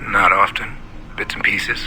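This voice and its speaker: A man's voice: lowish voice, fairly even intonation, a little slow, pushed and bright, slight background noise, rough recording. A man playing a resigned, reserved father in naturalistic audio drama, laconic and dry. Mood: puzzled.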